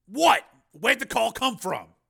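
A man's voice: very high-pitched, big pitch swings, slow pace, creaky, sharp tone, husky, voice pushed up, exaggerated voice. A man voicing a blustering, impatient lawman in an audiobook, blunt and exasperated. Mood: anxious, incredulous. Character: blustering, impatient male marshal, cocky lawman.

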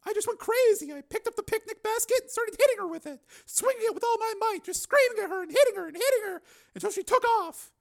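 A man voicing a grieving young woman who speaks in a high, strained, tearful way. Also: expressive, quick pace, vocal fry, heavily acted. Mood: grief-stricken.

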